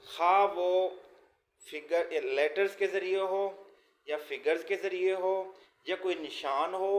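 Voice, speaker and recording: A Pakistani man lectures in a fairly high voice at a slow, deliberate pace. He reads out material and explains it step by step, rephrasing as he goes. The sound is echoey, as in a bare room. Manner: explanatory, repeats and rephrases points. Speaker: law lecturer. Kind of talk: exam lesson, reading out questions then explaining them.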